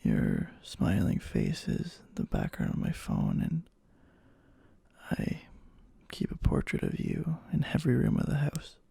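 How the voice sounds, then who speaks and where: Yandere boyfriend, played by a man, for ASMR roleplay: gravelly, breathy, slow, halting, anxiously devoted. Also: mid-range voice, wildly swooping pitch, mellow, dry recording, clean studio sound, exaggerated voice. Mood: tender, hesitant. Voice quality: breathy, husky half-whisper.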